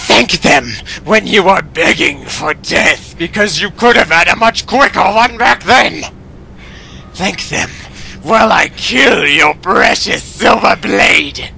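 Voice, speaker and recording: A man's voice: high-pitched, expressive, takes it slowly, gravelly, bright, forceful tone, slight background noise, full character voice. A man playing a vengeful, unhinged vampire villain with a taunting, mock-polite menace in an audio drama. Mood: enraged. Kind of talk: dramatic audio fiction acting.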